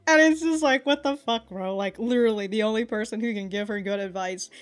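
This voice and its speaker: High-voiced, slangy woman reviewing anime. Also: brisk, soft background music. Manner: animated, slangy, swears freely; chatty, laughs often while talking. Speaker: reaction video host, anime reviewer. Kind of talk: casual reaction commentary and episode recap.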